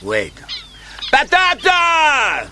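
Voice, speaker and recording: Brief: a grand South American rebel horseman, high, hugely animated voice, radio drama, noisy ambience. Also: extremely slow, exaggerated voice. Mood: welcoming. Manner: grand, warm declamation. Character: hearty, theatrical South American horse tamer and revolutionary. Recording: noisy outdoor ambience behind dialogue.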